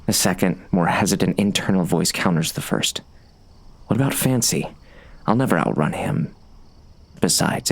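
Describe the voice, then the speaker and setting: An actor playing a wry, brooding bounty hunter narrating a western audiobook in a gravelly voice. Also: male voice, sits low, exaggerated voice.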